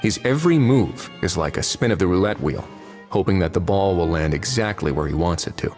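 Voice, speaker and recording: A calm, authoritative warden in a video game. His fairly low voice stays cool and measured as he gives dry commands, with soft music underneath. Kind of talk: video game cutscene dialogue.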